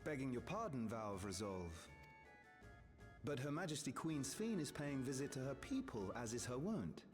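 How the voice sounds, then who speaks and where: An unflappable male royal aide acted by a man from a video game, formal and deferential. His pitch moves in lively swings, and the voice rings with long hall reverb. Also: moderate pace, faint music behind, close to an everyday voice. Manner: formal, deferential, archaic phrasing. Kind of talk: scripted video game voice acting.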